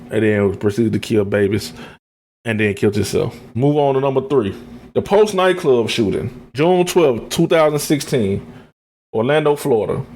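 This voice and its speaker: A man's voice: mid-range voice, a little mellow. Colloquial American man hosting a podcast. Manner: opinionated, repeats phrases for emphasis, colloquial. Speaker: podcast host. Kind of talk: informal commentary, partly read from notes.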